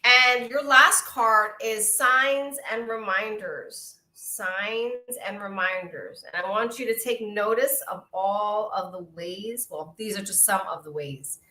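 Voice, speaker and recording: A woman's voice: medium pitch, takes it slowly, hardly any pauses, reverberant, low-quality recording. A middle-aged woman with a Brooklyn New York accent, chatting casually while giving oracle card readings on a live stream. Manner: chatty, warm greetings to viewers, frequent hearty laughs.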